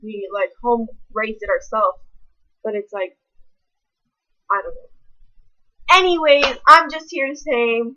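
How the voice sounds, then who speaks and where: A woman's voice: on the high side, slow pace, pauses often, sharp tone, highs cut off, a little hiss or hum. A young woman, ranting casually to camera in a blunt, rambling way full of swearing. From American South. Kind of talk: casual vlog rant.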